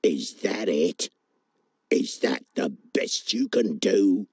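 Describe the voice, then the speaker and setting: A big, bored frog from a children's storybook, voiced by a man. He croaks low and slow, grumpy and dismissive, as if nothing could please him. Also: animated, a little mellow, no echo, studio quality, heavily acted.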